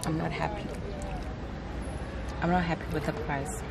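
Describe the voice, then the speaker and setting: A woman's voice: deep voice, normal speed, creaky, heavy background noise. A young woman in her twenties chatting to viewers on a travel vlog, filmed outdoors on a lake boat with a handheld camera. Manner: chatty, animated, exclaiming to viewers. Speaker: travel vlogger. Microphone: handheld camera at arm's length.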